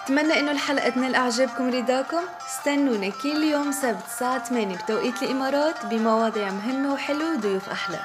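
A woman hosting a show, cheerful and quick, with music behind her. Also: high-pitched. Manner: cheerful, rapid delivery. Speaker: show host. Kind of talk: upbeat show hosting in Arabic.

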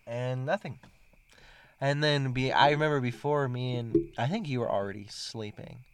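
A man's voice: medium pitch, moderate pace, clean tone, dry recording. A man hosting a casual chat, telling stories in a joking, playful way. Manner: joking, playful storyteller who laughs at his own jokes.

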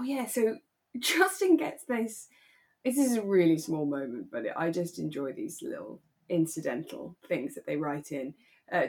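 A woman talks in a relaxed, conversational way as a podcast host. Her pitch sits in the middle for a woman, and she speaks informally, as in an easy chat between co-presenters. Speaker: actor. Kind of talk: relaxed casual chat about a radio drama.

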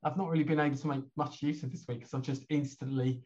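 Hoarse man, rambling host. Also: mid-range voice, fairly even intonation, fast, a little mellow, highs cut off. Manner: rambling, chatty, many fillers and restarts. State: hoarse from a cold. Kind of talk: casual chat on an online talk show.

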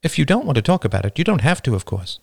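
A man voicing a gentle, earnest and inquisitive young woman with a soft, concerned manner. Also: medium pitch, big pitch swings, very fast, gravelly, husky, no room sound, clean studio sound, pitched above the performer's own voice, heavily acted. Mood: concerned, coaxing.

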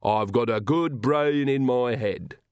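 A man voicing a loyal, proud, gloomy monstrous castle manservant in an audiobook, with slow, deliberate, deferential talk. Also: medium pitch, a little mellow, clean tone, dry recording, studio quality. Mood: proud, obliging.

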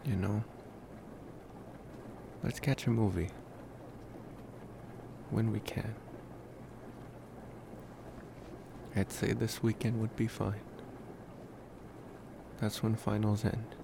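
A young male vampire in love, played by a man, murmurs softly in an intimate ASMR roleplay. His low voice crackles with fry and moves very slowly, tender and soothing, with breaks between phrases. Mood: happy, adoring. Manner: hushed, halting, soothing, affectionate. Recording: clear steady background ambient noise.

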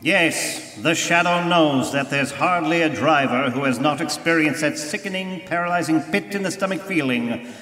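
A man playing an astute, grave gentleman sleuth in an old-time radio drama, measured and knowing. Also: fairly high pitch. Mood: dramatic. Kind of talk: 1930s-style live radio drama acting. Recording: echoey live hall, noisy.

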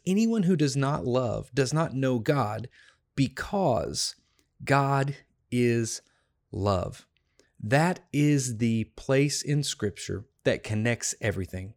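A slightly hoarse, tired man hosting a devotional podcast, teaching a Bible passage in an earnest, encouraging way with emphatic repetition. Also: medium pitch, darkish tone. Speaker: podcast host.

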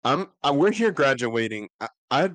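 A man's voice: medium pitch, very animated, moderate pace, a bit bright, no echo. Emphatic man ranting among friends. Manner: emphatic, rants with feeling. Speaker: podcast co-host. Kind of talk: casual chat.

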